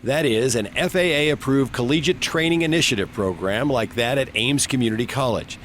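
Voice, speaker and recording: A man's voice: mid-range voice, fairly quick, a bit bright, slight background noise. An American man working as an investigative TV reporter, reading a scripted news report narration.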